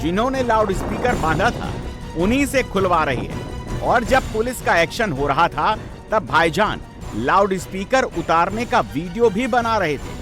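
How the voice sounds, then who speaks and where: A man's voice: high voice, lively intonation, a little slow. A man presenting scripted TV news narration in an emphatic, sensational, dramatic way. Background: background music.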